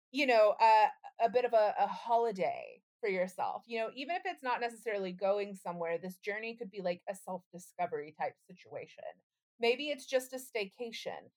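A woman co-hosting a podcast with a playful, theatrical way of talking, whether chatting or reciting. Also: on the high side. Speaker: podcast co-host. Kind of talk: casual chat, dramatic poem reading.

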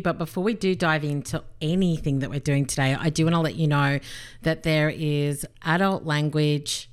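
A woman's voice: deep voice, smooth. A woman hosting a business podcast, talking with bubbly enthusiasm as she introduces her guest. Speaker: podcast host.

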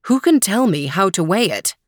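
A woman's voice: mid-range voice, very animated, moderate pace, dry recording, studio quality. A woman voicing a stern, commanding old emperor in a children's storybook reading.

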